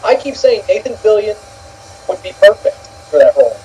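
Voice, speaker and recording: A man's voice: high-pitched, sing-song, a little fast, dark tone, husky. A man co-hosting a casual, opinionated chat, heard through slightly dull, muffled audio. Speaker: co-host giving opinions.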